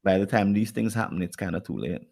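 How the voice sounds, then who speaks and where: A man with a Jamaican accent and a very low, gravelly voice, chatting on a podcast. He rambles and doubles back on his words as he works out a point. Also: quick pace, a little mellow. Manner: rambling, repeats words while thinking, acts out quoted people. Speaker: podcast co-host. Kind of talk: casual podcast conversation.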